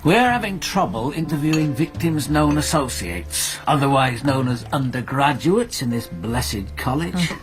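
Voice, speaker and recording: An actor playing a wry, blunt detective sergeant in a British radio drama, with a British accent and a colloquial, persuasive manner. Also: male voice, medium pitch, animated, unhurried, hardly any pauses, faint music behind, close to an everyday voice. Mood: wry, coaxing. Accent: British English.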